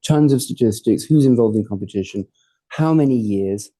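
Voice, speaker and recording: A man lectures to a hall audience in a very dark, mellow voice. His delivery is loose and conversational, full of asides, jokes and the occasional curse. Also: on the low side, normal speed. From born in Hawaii, raised in Portugal and England. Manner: informal, digressive, jokey, swears for effect. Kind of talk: academic talk with slides.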